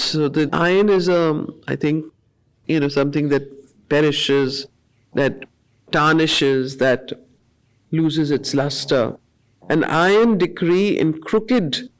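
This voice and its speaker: A woman facilitating a study circle, reading poetry in a deliberate, measured way with pauses between short phrases and explaining it as she goes. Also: sits low.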